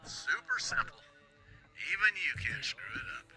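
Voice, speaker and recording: An actor playing a sarcastic, bossy, jokey radio station boss in a video game, with a folksy, exaggerated way of talking. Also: male voice, moderate pace, sharp tone, faint music behind, rough recording. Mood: condescending.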